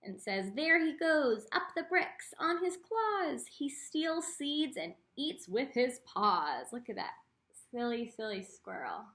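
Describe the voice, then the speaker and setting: Female art teacher for a children's read-aloud: fairly high voice, expressive storytelling, speaks straight to the kids. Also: slow. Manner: expressive reading, addresses young listeners directly. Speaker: art teacher reading a picture book aloud.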